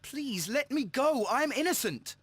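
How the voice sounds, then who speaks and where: An actor playing a nervous, poor young man in a video game, with a husky, halting, stammering delivery. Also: extremely high pitch, big pitch swings, a bit bright, no room sound, full character voice. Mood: desperate pleading. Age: young adult. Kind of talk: scripted video game dialogue.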